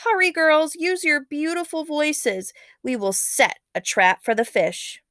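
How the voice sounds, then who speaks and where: A woman's voice: high-pitched, very animated, unhurried, fairly bright tone, dry recording, pitched above the performer's own voice, full character voice. A wise, elderly village leader as a woman storyteller plays her. She speaks with urgency and command, like the elder of a storybook tale. The sound is close and dry, with no room on it. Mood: urgent. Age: older. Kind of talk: storybook read-aloud character.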